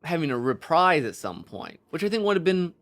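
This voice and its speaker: A man co-hosting a podcast, casually discussing films and storytelling as he thinks out loud. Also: on the high side, very animated, brisk. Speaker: podcast co-host.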